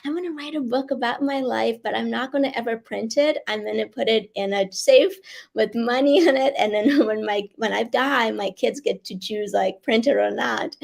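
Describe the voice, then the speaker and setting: Raspy woman. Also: on the high side, darkish tone. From Iran. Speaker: guest author and life coach. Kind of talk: personal sharing and reading aloud. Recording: online video call.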